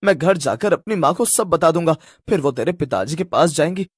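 A man's voice: a little high, expressive, a little fast, breathy edge, no echo, clean studio sound. A man voicing an indignant rich young boy who speaks insistently and stands up for himself in a cartoon story.

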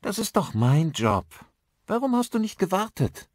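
A man's voice: high-pitched, big pitch swings, moderate pace, dry recording, studio quality, voice pushed up. A man voicing a tired, sulky, reproachful schoolgirl in an audiobook, with a pouting delivery. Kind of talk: dramatised audiobook dialogue.

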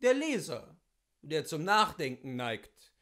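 A man reading classic literature aloud as a host, with deliberate, theatrical phrasing and weighty pauses. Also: a little high, very animated, a bit bright. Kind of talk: expressive literary reading, scripted narration.

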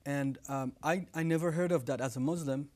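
A man's voice: on the high side, brisk, a little mellow. A man in his thirties from Iran with an Iranian accent, earnestly telling his story as a guest in a TV studio on a lapel mic. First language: Farsi. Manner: earnest, gestures with hands while talking. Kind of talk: testimony in a studio interview. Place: TV studio set with living room decor.

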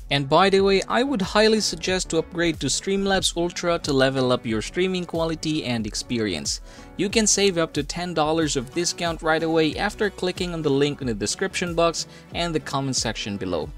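A man hosting a software tutorial, explaining things step by step in a casual way. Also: on the high side. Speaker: tutorial host. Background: background music.

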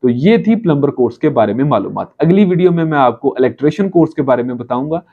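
A man's voice: medium pitch, lively intonation, quick pace, husky. A man in his thirties with a Pakistani accent gives a promotional talk to camera, often repeating and rephrasing his points. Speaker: college channel presenter. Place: small office, indoors. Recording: dull lo-fi camera audio.

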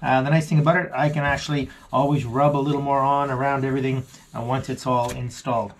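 A man's voice: mid-range voice, little pitch movement, quick pace, a little hiss or hum, poor audio. A middle-aged man casually talking through repair tips in a small wooden boat cabin, chatty and self-deprecating. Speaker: vlogger. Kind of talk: casual how-to vlog narration.

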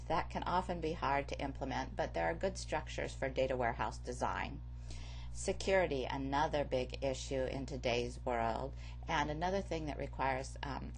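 A woman instructor giving a measured, explanatory lecture over slides. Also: sits low, vocal fry. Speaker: course instructor. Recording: faint background hum.

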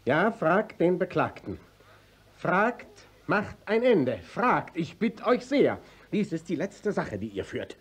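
An actor playing an exasperated, commanding court official, speaking formally and reprovingly in a radio play. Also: male voice, high voice, very animated, slow pace, husky, full character voice. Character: stern, exasperated visiting court official of authority. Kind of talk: classic German stage radio drama acting.

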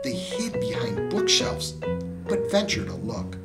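A middle-aged American man reading a children's story aloud in an animated storyteller's style. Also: mid-range voice, measured pace. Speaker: school communications director reading aloud. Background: music.

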